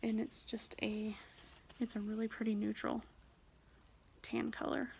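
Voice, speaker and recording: A young woman with a soft, quiet voice, chatting shyly and a bit nervously about her stitching projects in a casual vlog. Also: fairly high pitch, gravelly, slight background noise. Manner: shy, rambling, nervous. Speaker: cross-stitch vlogger. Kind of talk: casual show-and-tell vlog.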